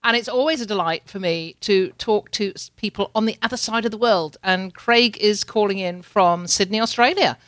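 Chatty woman interviewer, dry close mic, mid-pitched voice. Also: normal speed, hardly any pauses, a bit bright, dry recording. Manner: chatty, laughs often, repeats words. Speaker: podcast host. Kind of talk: casual interview chat. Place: home in Canada.

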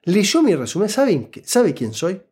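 Animated Argentine man with a lively voice. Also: sits high, measured pace, slightly rough. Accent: Argentine Spanish. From Buenos Aires, Argentina. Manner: animated and explanatory, often checks in with the listener. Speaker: interview guest giving job-interview advice. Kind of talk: casual conversational storytelling.

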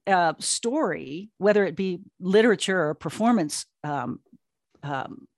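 A woman in her sixties speaking conversationally as an interview guest, telling her story in a chatty, animated way. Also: on the low side, measured pace, dry recording, studio quality. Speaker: guest, audio drama producer and creative officer.

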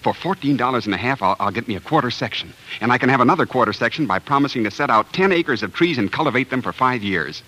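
An American actor playing an earnest, awkward young farmer in love, plain-spoken and halting, in a 1940s radio drama. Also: male voice, medium pitch, expressive, bright, forceful tone, poor audio. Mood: eager, tender. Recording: hissy old 1940s radio recording.

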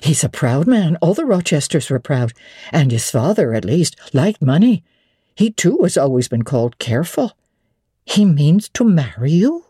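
A woman's voice: low-pitched, big pitch swings, moderate pace, warm tone, husky, no room sound, clean studio sound, heavily acted. A woman voicing an elderly widowed housekeeper who is bewildered and cautious, speaking in a hesitant, fretful way in a classic novel reading. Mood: doubtful. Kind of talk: dramatic audiobook reading of classic literature.

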